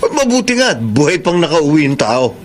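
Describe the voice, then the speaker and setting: An earnest village family man, with a somewhat high male voice. He speaks patiently and soothingly in a radio drama, with faint noise behind. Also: very animated, normal speed. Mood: soothing, firm. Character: kind, faithful village husband and father. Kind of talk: Filipino radio drama acting.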